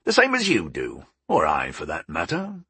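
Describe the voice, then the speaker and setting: A man voicing a portly, proud older baker father in an audiobook, gruff and resolute, explaining things without hurry. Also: low-pitched, big pitch swings, a bit bright, dry recording, heavily acted. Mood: proud, reassuring. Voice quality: gruff, grumbling. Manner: unhurried, explaining, homespun wisdom. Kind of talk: audiobook character dialogue.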